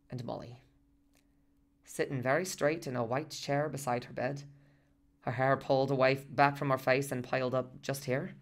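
A man's voice: mid-range voice, close to an everyday voice. An actor in a radio play playing an earnest husband who tells his story in a conversational, rambling way. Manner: conversational, rambling, detailed asides. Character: earnest, chatty working-class husband recalling a hospital visit.

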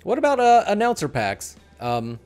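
A man's voice: sits high, wildly swooping pitch, normal speed, darkish tone, smooth, faint music behind. A middle-aged man hosting a podcast in casual, conversational chat on a headset mic. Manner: appreciative, conversational. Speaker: podcast host. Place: small room at home.

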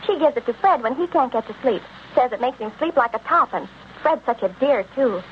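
A woman playing a brisk, nagging housewife in an old-time radio advert. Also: high-pitched, expressive. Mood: persuasive. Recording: muffled, noisy old radio recording.

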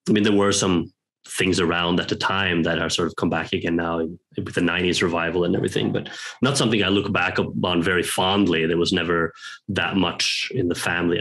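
A man, a guest talking reflectively on a video call from a home room, pausing and restarting often as he thinks aloud. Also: deep voice, a bit bright. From Norway. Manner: hesitant, thoughtful, many pauses and restarts. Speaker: guest, professor. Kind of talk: reflective interview talk. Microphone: headphones on a video call.

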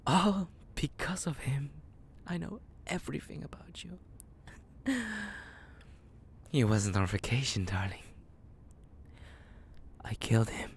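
A man's voice: medium pitch, a little hiss or hum. A man playing a psychotic, possessive young kidnapper in an intimate audio roleplay, cooing menacingly in half whispers with creepy giggles. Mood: gloating, unhinged.

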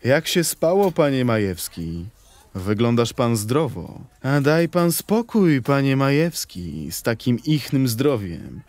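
A man's voice: mid-range voice, big pitch swings, slow pace, darkish tone, dry recording. A man playing grumbling working-class market men in an audio play, with colloquial, griping speech. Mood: grumbling banter.

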